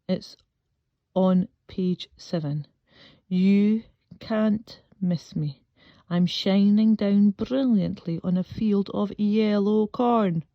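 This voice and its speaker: A woman voicing a boastful, insistent yellow crayon in a storybook, loud and self-important. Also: medium pitch, natural voice. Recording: dull, echoey room recording.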